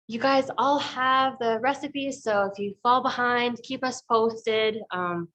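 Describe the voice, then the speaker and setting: A woman's voice: medium pitch, fairly bright tone, rough recording. A woman hosting an online cooking class, going over the house rules before handing over to the teacher, with many ums and restarts. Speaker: class host for a food co-op.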